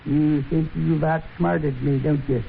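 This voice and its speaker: A proud, cantankerous old-timer in a radio western. His voice is gravelly and a bit high, his speech folksy and halting. It comes through a tinny 1950s recording. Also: fairly even intonation, a little hiss or hum. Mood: defiant, agitated. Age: older. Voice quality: creaky. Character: proud, ornery, crippled old frontier man.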